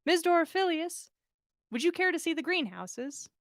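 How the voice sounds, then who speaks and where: Storybook role, read by a woman: modest, awkward young man, sincere and halting, high-pitched, dry recording. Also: very animated, dry recording, heavily acted. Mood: gallant. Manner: hesitant, earnest. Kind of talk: fan fiction story reading.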